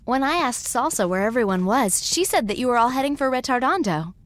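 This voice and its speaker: Brisk, direct young game heroine , voiced by a woman. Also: fairly high pitch, lively intonation, lightly acted. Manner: direct and questioning. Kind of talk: video game cutscene voice acting.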